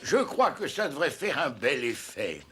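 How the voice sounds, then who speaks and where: A man's voice: mid-range voice, very animated, a little slow, over music. A man voicing a stern, pompous elephant courtier with emphatic delivery in a French-dubbed cartoon. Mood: secretive.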